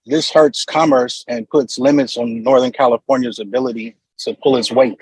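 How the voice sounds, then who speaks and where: Union guy reading his comment on a call, bit husky, dry sound. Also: medium pitch, fairly even intonation, normal speed, darkish tone, dry recording, rough recording. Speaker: union representative giving public comment. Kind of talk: prepared public comment. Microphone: remote call audio.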